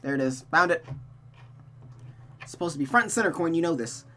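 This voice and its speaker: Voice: medium pitch, animated, fairly quick, a bit bright, soft background music. A host reading a visual novel aloud, mixing dramatic narration with playful, casual asides.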